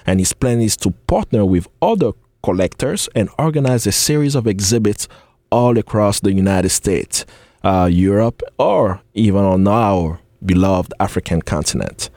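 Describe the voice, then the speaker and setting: A man co-hosting a talk show, introducing a guest's background in a halting way with frequent fillers. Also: on the low side, animated, warm tone, no echo. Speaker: co-host. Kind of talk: reading a guest bio.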